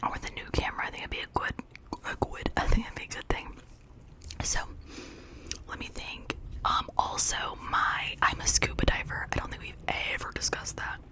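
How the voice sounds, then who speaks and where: A woman whispering breathily in a casual, rambling, chatty ASMR style, talking informally to her viewers. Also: moderate pace. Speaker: ASMR video creator.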